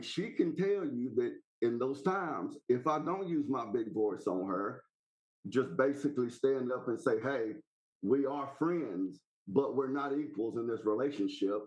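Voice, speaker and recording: A Southern-accented man who calls in to a podcast and holds forth in a casual, rambling way. His voice sits at an ordinary middle pitch. He talks bluntly, in plain folksy country phrases. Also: low-quality recording. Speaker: podcast caller. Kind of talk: casual opinionated chat.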